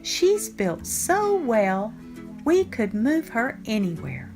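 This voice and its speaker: A woman's voice: fairly high pitch, wildly swooping pitch, takes it slowly, over music, exaggerated voice. A woman reading a storybook voices a confident house mover with an emphatic, assured delivery.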